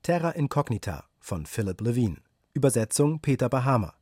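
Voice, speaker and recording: A man's voice: mid-range voice, very animated, brisk, darkish tone, breathy edge, dry recording, clean studio sound. A man working as an announcer, reading scripted radio credits formally.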